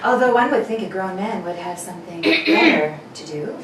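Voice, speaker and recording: A woman's voice: medium pitch, sing-song, moderate pace, a bit bright. A woman playing a haughty, sarcastic lady with an arch, emphatic manner in an old-time radio suspense play. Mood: arch, disdainful. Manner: arch, emphatic, pointedly polite. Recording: echoey room from far off, faint noise.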